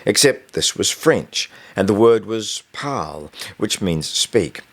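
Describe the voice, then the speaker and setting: A man's voice: lowish voice, animated, slow pace. A man reading a novel excerpt as scripted audiobook narration, in the manner of a storyteller telling a first-person school tale.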